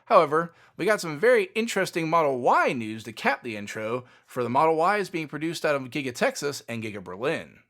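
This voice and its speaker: A man's voice: a little high, very animated, fairly quick, hardly any pauses, a bit bright. A man hosting a podcast, reading fluent, opinionated scripted commentary on company news. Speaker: podcast host.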